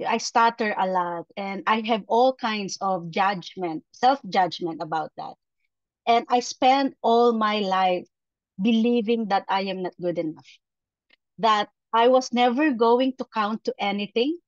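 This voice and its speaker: A woman with a Filipino accent speaking as a performance coach in a motivational webinar, with deliberate, emphatic phrasing and repetitions over a video call. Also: mid-range voice, no echo. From Philippines. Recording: dull, lo-fi webinar audio.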